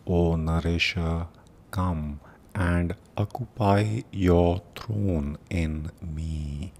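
A man leading a guided meditation in a solemn, deliberate manner, speaking in short phrases with long pauses between them. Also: deep voice, darkish tone. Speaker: meditation teacher and podcast host. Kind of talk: guided meditation with prayerful invocation.

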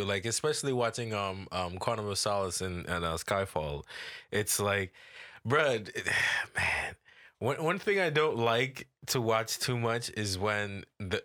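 Laid-back slangy man, bright voice. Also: fairly low pitch. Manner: laid-back, slangy, lots of fillers and profanity. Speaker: podcast co-host. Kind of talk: casual chat.

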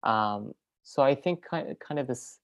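A woman filmmaker and teacher, in a relaxed interview, who talks in loose, rambling sentences full of fillers and restarts. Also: a bass voice, unhurried, highs cut off. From French Venezuelan, raised in New York. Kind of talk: casual interview conversation.

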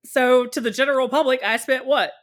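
A woman's voice: sits high, brisk. A woman talking casually and candidly as a podcast guest, at length and in a conversational way. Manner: talkative and candid, often acting out short quotes. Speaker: podcast guest, podfic creator.

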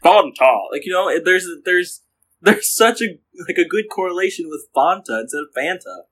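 A man's voice: high voice, lively intonation, normal speed, fairly bright tone, breathy edge. A young man co-hosting a podcast in casual chat, playful and laughing often. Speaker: podcast co-host. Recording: poor audio quality.

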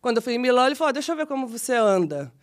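Casting: woman, 40s, São Paulo Brazilian accent, lively self-mocking guest storyteller. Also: a little high, very fast. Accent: São Paulo Brazilian Portuguese. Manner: chatty, animated, self-deprecating, frequent restarts. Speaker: guest, model and actress. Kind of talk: casual interview storytelling.

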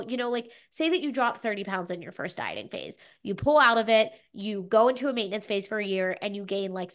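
A woman's voice: mid-range voice, a bit bright. Sniffly, chatty woman podcast host. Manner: chatty, frequent "like" and "you know". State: stuffy from a cold. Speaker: podcast co-host, fitness coach. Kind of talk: casual advice chat.

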